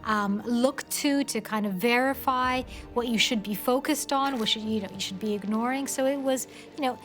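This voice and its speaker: A woman giving media commentary in an interview, with halting, conversational phrasing. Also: on the high side.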